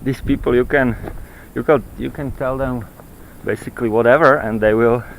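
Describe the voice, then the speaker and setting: A man who is not a native English speaker, rambling haltingly as he vlogs on a walk, searching for words and repeating himself. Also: mid-range voice, fairly even intonation, vocal fry. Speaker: vlogger. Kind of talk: rambling walk-and-talk monologue on ancient texts. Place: outdoors on a mountain trail. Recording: noisy outdoor handheld recording with clicks.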